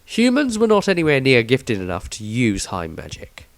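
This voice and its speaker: A man's voice: mid-range voice, huge pitch swings, moderate pace, no room sound. A man reading his own fantasy story as a scripted, dramatic audiobook narration.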